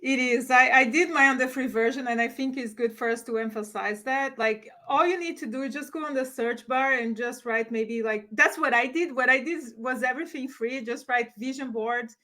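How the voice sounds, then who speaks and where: A woman's voice: high voice, moderate pace, hardly any pauses, sharp tone. A woman from Brazil, casually explaining things as a coach co-hosting a live online workshop.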